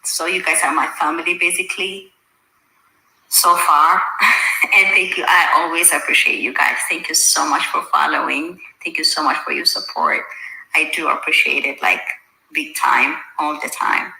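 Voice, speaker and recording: Woman, Ethiopian accent, native Amharic speaker, very sharp bright tone, casual livestream chat, informal thinking aloud, roomy echo. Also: deep voice, moderate pace, creaky. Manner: informal, thinking aloud, addressing viewers with endearments. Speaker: social media livestreamer. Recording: roomy, echoey sound.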